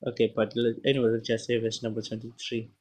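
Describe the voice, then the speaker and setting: A man's voice: mid-range voice, completely flat delivery, a little mellow. A man whose first language is not English reads scripture aloud and comments on it casually, often stopping to correct himself and exclaim. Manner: halting, stops often to correct words and exclaim. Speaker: Bible reader and commentator.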